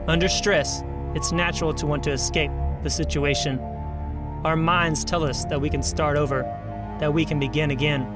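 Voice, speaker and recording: A man hosting an audio drama with a scripted introductory narration. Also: fairly high pitch. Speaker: host. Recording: loud music under the voice.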